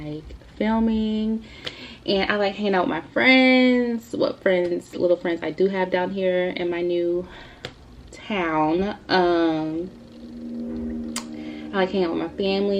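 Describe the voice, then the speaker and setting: Young woman in her 20s rambling chatty about herself, lowish voice, kinda dull lo-fi sound. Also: a little mellow, a little hiss or hum. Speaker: YouTuber. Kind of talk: casual Q&A vlog.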